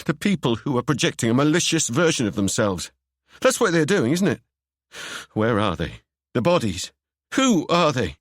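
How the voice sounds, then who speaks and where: A man voicing a panicked young man who shouts desperate questions in a shrill voice. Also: big pitch swings, moderate pace, no room sound, studio quality. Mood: frantic. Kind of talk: audiobook character voice.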